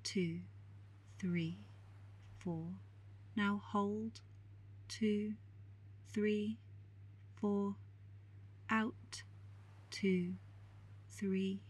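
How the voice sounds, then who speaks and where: A woman leads a guided meditation in a soft, husky, breathy voice at a medium pitch. She speaks extremely slowly and calmly, leaving long pauses between short, soothing instructions.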